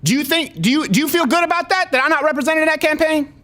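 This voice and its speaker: A very high, sharp-edged voice an aggrieved gender non-conforming single mom on a prank complaint call. The speech is streetwise and emphatic, rambling along and circling back on its demands. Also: male voice, fast. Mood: pleading, aggrieved. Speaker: prank caller. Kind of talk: improvised prank phone call.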